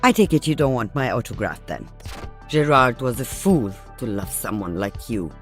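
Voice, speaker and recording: An actress playing a weary, protective elderly mother and veteran sniper in a video game, with a dry, measured manner. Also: extremely low pitch, very animated, faint music behind. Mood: dry, cutting. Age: older.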